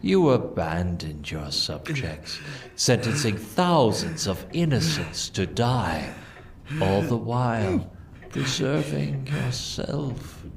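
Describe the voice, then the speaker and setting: A man's voice: on the low side, very animated, slow, vocal fry, full character voice. A man playing the Red Death, a merciless masked spirit of plague, speaking in solemn, ominous pronouncements in a gothic audio drama. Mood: stern condemnation. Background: dramatic music.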